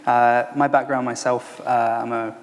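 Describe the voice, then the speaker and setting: A man who founded a consultancy, introducing himself on a panel in a measured, hesitant way full of professional jargon. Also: medium pitch, level tone. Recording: long hall reverb.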